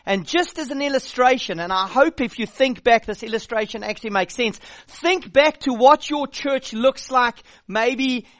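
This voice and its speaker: A man's voice: very high voice, normal speed, hardly any pauses, fairly bright tone, no echo. A South African youth pastor in his thirties preaching a sermon, direct and blunt, with a dry joking edge.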